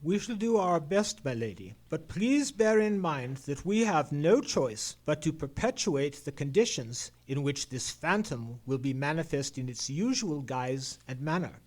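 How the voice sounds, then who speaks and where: A man plays a shrewd Victorian English detective in an old-time radio mystery. His voice sits high for a man and moves in lively swoops, with courteous, formal phrasing. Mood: reassuring. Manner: formal, measured, theatrical period diction. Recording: live theatre stage radio play.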